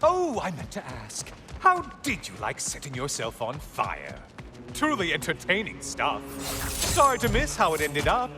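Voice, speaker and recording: A smug, mocking tormentor from a video game. His fairly high voice leaps up and down with sarcasm as he chattily needles the hero, with music clearly behind him. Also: huge pitch swings, measured pace. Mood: gleeful sarcasm. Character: mocking, smug, spiteful male heckler of the hero.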